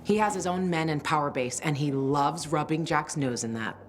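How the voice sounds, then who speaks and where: An actress playing a wry, streetwise woman in a video game, talking in a casual, sardonic and unhurried way. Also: low voice, a little hiss or hum, close to an everyday voice. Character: wry, streetwise woman contact, cool and teasing schemer. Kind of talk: video game dialogue acting.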